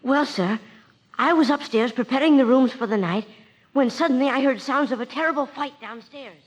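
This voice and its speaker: A man's voice: very high-pitched, moderate pace, muffled recording. A male actor playing a polite, timid young cabin boy in a storybook record, earnest and hesitant. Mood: anxious, earnest. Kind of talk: dramatised storybook record acting. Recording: noisy vintage record with clicks.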